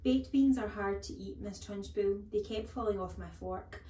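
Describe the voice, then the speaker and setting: A woman's voice: mid-range voice, normal speed, warm tone, far from the mic, natural voice. A woman voicing a brave, polite little schoolboy, earnest and frank, in a children's storybook reading. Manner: earnest, frank, trying not to sound scared. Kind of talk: children's storybook read-aloud. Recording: echoey room.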